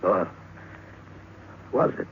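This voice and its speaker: A man narrating a scripted horror story in old-time radio style, with suspenseful, deliberate phrasing. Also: narrow, tinny audio, slight background noise. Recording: thin, band-limited vintage radio recording with music.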